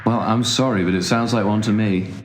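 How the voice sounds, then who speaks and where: A man's voice: on the low side, fairly even intonation, brisk, natural voice. Echoey, sardonic young brother, acted by a man. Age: young adult. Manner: dry, sarcastic, wordy wit. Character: cynical, sardonic younger brother, aimless and unemployed. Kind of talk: naturalistic audio drama dialogue. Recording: echoey room, faint background noise.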